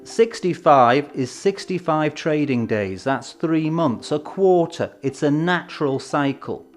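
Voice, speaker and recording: A man presenting an educational film in a scripted, measured, explanatory way with emphatic phrasing. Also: a little high, expressive. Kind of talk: scripted instructional narration. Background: background music.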